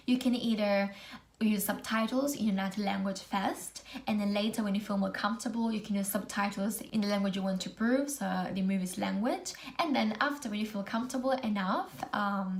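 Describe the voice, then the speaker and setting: A young Italian woman whose first language is Italian, giving casual advice in English to camera with an Italian accent, chatty and full of "like" and "basically". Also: mid-range voice. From Italy. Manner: chatty, lots of "like" and "basically", non-native phrasing. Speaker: vlogger.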